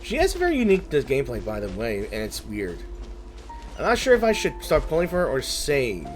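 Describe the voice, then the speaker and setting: A man's voice: mid-range voice, wildly swooping pitch, brisk, soft background music. A man giving casual, reactive let's play commentary, often muttering to himself as he plays. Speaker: gaming streamer.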